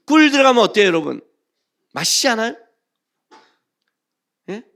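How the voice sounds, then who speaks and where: Animated male preacher, widely swinging pitch. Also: normal speed, vocal fry. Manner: emphatic, questioning the congregation, swinging between loud stress and hushed phrases. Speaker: pastor. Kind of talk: sermon with scripture reading.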